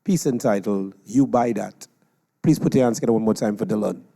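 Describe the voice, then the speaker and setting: A man announces contestants formally from a stage. His voice is very mellow and dark, with a gravelly creak at a middling pitch. Long reverb from the venue trails his words. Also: fairly quick. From Trinidad and Tobago. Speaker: competition announcer.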